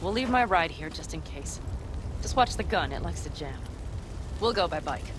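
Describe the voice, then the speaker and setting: A proud young nomad woman from a video game, loyal and fierce. Her delivery is blunt and determined, with lively swings in pitch. Clear ambient noise sits behind the voice. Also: normal speed. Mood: brisk. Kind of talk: cinematic video game acting.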